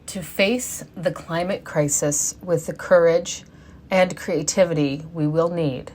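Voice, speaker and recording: A woman delivering a read sermon at a church service, earnest and measured. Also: deep voice, a little mellow, a little hiss or hum. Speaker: lay sermon speaker.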